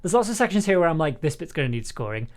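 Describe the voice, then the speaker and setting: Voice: medium pitch, big pitch swings, racing, darkish tone. A creative host talking informally on a stream, with a mid-range voice. The delivery is hesitant, with words repeated and restarted, and laughter comes easily between thoughts. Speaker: audio drama writer and composer, host of livestream. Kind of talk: casual chat on a livestream.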